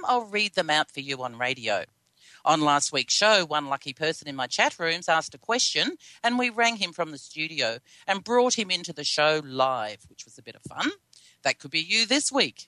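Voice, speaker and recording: A female radio host speaks with an Australian accent in a bright, dry-sounding voice. She is chatty and upbeat, talking directly to listeners and guests. There is a slight scratchiness, as if her voice is starting to go. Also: low voice, no room sound. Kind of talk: live radio hosting and interviewing.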